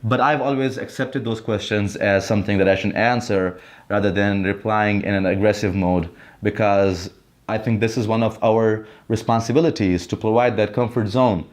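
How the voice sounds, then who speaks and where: Young guy from afghanistan, low voice, calm, kinda hesitant. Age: young adult. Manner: calm, hesitant with fillers, measured. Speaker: student. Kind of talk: interview testimony.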